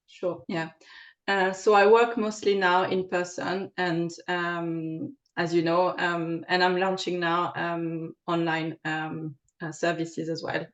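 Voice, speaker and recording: French-accented woman, low and flat. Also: slow pace. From France. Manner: hesitant, many ums and uhs. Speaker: podcast guest, aromatherapist. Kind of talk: casual interview answers.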